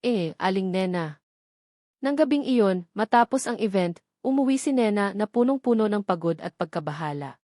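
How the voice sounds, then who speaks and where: A woman's voice: medium pitch, animated, fairly quick, warm tone, no room sound, studio quality. A woman reading scripted, dramatic narration of a romance story as a storyteller.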